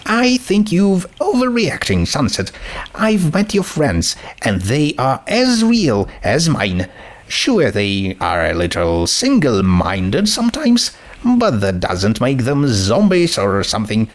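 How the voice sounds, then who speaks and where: A man voicing a calm, reassuring teenage girl with a reasonable, gently dismissive manner in a story reading. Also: medium pitch, slow, voice pushed up. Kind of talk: fan fiction character reading.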